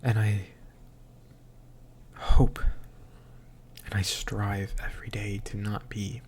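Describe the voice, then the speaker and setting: A slightly tipsy man recording a confessional audio diary, speaking in a halting, hushed, introspective way that often trails into a whisper. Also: on the low side, monotone, a little hiss or hum. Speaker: audio diarist.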